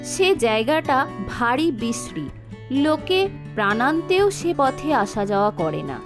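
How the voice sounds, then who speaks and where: Woman storyteller, high animated voice over music. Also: unhurried. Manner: expressive, dramatic storytelling delivery. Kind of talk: scripted children's folk tale narration.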